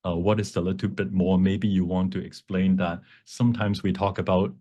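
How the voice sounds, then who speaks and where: Low-voiced man giving an informal workshop. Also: darkish tone. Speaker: workshop presenter, font creator. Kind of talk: informal software demonstration, explaining.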